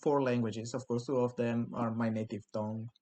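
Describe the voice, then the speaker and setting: A man's voice: medium pitch, little pitch movement, normal speed, mellow. Italian-native man hosting a podcast. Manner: reflective, with frequent restarts. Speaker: podcast host. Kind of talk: casual podcast chat.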